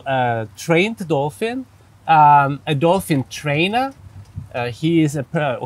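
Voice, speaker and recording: A man from Austria, a native German speaker with an Austrian accent, gives explanatory interview answers hesitantly, searching carefully for words. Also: fairly high pitch. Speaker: interview guest, psychologist and dolphin therapist.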